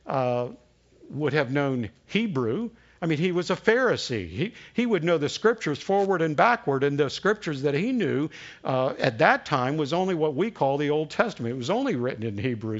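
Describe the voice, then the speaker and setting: A man's voice: a little high, big pitch swings, moderate pace, lo-fi sound. Folksy Southern pastor in his sixties. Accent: Southern American. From American South. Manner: folksy storytelling with frequent uh fillers. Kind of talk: sermon.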